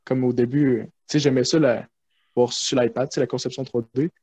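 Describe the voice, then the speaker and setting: A man's voice: medium pitch, fairly even intonation, a little fast, a little mellow, husky. A man chatting casually on a video call, keen but hesitant in his phrasing.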